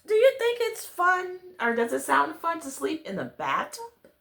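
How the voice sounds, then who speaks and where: Female children's storyteller, higher-pitched and very animated, chatty asides to young listeners, roomy echo. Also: unhurried, creaky. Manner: addresses children directly with questions and exclamations. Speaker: children's read-along storyteller, host. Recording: roomy, echoey sound.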